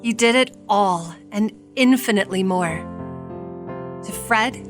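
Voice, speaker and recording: A woman narrating a classic story in a deliberate, dramatic storytelling manner. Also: mid-range voice, over music.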